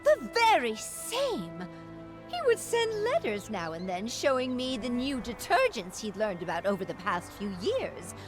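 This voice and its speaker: A woman's voice: a little high, huge pitch swings, unhurried. A woman voicing an elegant, sentimental former actress in video game story dialogue, with a dramatic, lilting storytelling manner. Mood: grave, explaining. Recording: background music under the voice.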